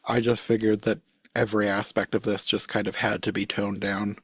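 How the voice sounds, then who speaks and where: A man's voice: fairly low pitch, flat delivery, moderate pace, husky, no room sound. A man chatting casually as a panelist on a podcast, giving his own impressions. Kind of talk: casual chat.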